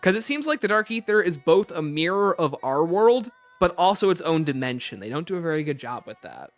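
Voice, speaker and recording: Male streamer, higher-pitched and lively, casual Q&A delivery, faint music underneath. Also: brisk. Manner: informal, thinks aloud. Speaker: livestream host. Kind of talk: casual livestream Q&A, reading out and answering chat questions.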